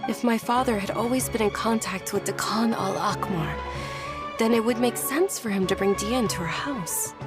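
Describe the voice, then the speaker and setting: A woman's voice: a little high, moderate pace, loud music behind the voice, natural voice. Woman playing a polite, earnest young noblewoman. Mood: thoughtful, probing. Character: gentle, dutiful young noblewoman, polite and resolute. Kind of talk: anime-style video game voice acting.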